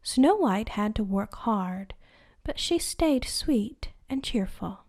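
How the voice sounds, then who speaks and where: A woman narrating a bedtime story at a very slow, lulling pace. Her voice is soft and hushed, often dropping to a breathy near-whisper meant to soothe children to sleep. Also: on the high side, lively intonation. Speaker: host and storyteller.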